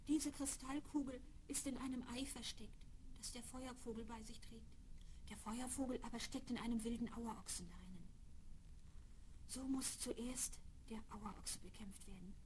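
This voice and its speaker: A woman's voice: fairly high pitch, moderate pace, darkish tone, lightly acted. An actress playing a sorrowful princess bewitched into an old hag, solemn and sighing, in a children's fairy-tale audio play. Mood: mournful. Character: sorrowful enchanted princess in an old hag's shape. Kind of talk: 1970s German children's audio play acting. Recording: slightly noisy vinyl record.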